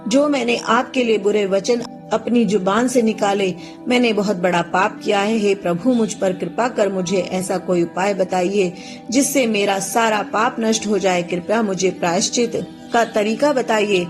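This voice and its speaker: Woman plays impassioned proud sage of myth, formal declamation, medium pitch, faint music under. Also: normal speed, close to an everyday voice. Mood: remorseful, pleading. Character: wrathful male divine sage, proud, later remorseful.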